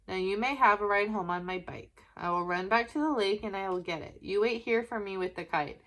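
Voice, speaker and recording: A woman's voice: medium pitch, fairly quick, a bit bright, natural voice. A woman voicing an earnest, friendly young boy in a children's storybook, with a plain-worded manner. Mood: grateful.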